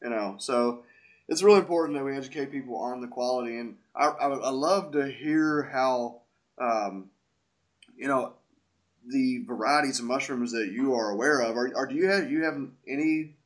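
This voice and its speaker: Stuffed-up man with a head cold. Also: medium pitch. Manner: curious, chatty questioner. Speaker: podcast host, wild mushroom forager. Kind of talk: casual interview.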